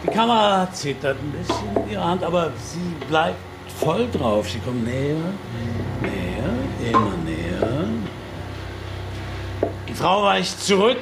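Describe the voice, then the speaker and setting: Gravelly, cynical police inspector. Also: male voice, a little high, very animated, heavy background noise, heavily acted. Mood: mocking, needling. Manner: sardonic, taunting, halting. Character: cynical, hard-boiled male police inspector, mocking and needling. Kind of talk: radio play interrogation dialogue. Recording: music behind the voice.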